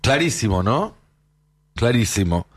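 A man's voice: medium pitch, big pitch swings, unhurried, husky. Argentine man, emphatic news commentator. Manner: emphatic, rhetorical questioning. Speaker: political news show host.

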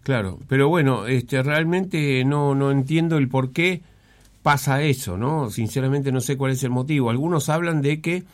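This is a man hosting a radio interview. He speaks in a halting, searching way, repeating words as he works through a question. His voice sits in an ordinary middle pitch. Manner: hesitant, puzzled, halting phrasing. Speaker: radio host.

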